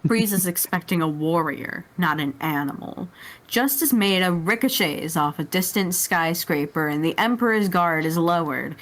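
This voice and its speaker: A woman's voice: fairly low pitch, expressive, normal speed, a bit bright, low-quality recording. A woman reading scripted action narration as a player in a dramatic story reading.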